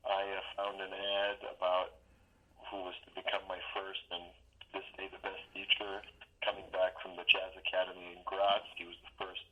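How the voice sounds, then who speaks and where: A man's voice: sits low, completely flat delivery, normal speed, lo-fi sound. A man, a guest drummer telling his story in a casual podcast interview, rambling and restarting sentences. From Serbia. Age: 40s. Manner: rambling, restarts sentences, chuckles at own stories.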